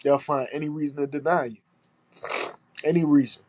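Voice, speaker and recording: A man's voice: fairly high pitch, fairly even intonation, normal speed, darkish tone, no echo. A man with a stuffy nose, hosting a solo podcast and talking in an aggrieved, emphatic way, repeating his points and swearing. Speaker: podcast host.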